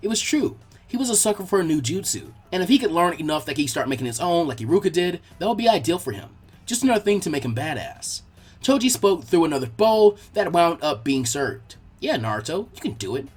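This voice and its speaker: A man reading fan fiction aloud as expressive, scripted story narration. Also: a little high, a little fast, husky.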